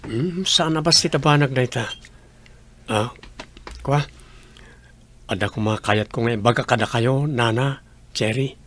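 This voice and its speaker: An earnest young village suitor in a radio drama. His voice is mid-pitched and a little husky, with an Ilocano accent. He comes across as humble and sincere. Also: a little slow, long pauses, fairly bright tone. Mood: hesitant, earnest.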